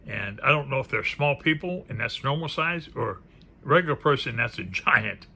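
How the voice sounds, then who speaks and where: A man's voice: mid-range voice, expressive, normal speed, gravelly, sharp tone, breathy edge. A man giving casual, unscripted commentary as he presents a card deck, musing aloud and trailing off into murmured asides. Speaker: presenter reviewing a tarot deck. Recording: faint background noise.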